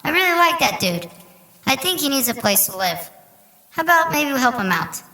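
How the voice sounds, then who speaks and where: Man doing a high, sing-song kindly mom. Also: moderate pace, echoey room, exaggerated voice, poor audio. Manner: high put-on motherly voice, animated. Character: friend's kindly, inviting middle-aged mother.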